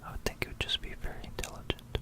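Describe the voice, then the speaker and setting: An ASMR creator speaking in a soft, unvoiced whisper, mixing reading aloud with informal, musing asides, close to a stereo microphone. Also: rapid-fire, rough recording. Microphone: close stereo binaural microphone.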